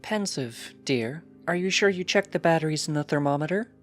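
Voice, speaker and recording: A man's voice: on the high side, expressive, fairly quick, soft background music, voice pushed up, close to an everyday voice. A man voicing a careful, fretful female pony, a precise and chiding wife in a storybook reading. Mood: patient chiding.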